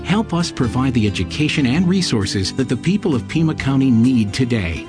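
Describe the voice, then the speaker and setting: A man's voice: mid-range voice, lively intonation, normal speed. Male voice-over, earnest ad read, music underneath. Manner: earnest, appealing. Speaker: announcer. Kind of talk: scripted radio ad.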